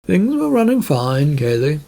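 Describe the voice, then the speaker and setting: Male reader as shipboard computer for audio fiction: creaky, nagging, hesitant and evasive. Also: fairly high pitch, wildly swooping pitch, a little slow, mellow, full character voice. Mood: dismissive.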